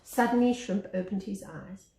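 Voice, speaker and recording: A woman reading a children's story aloud as a teacher, with expressive narration. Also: mid-range voice, normal speed, vocal fry. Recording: echoey room.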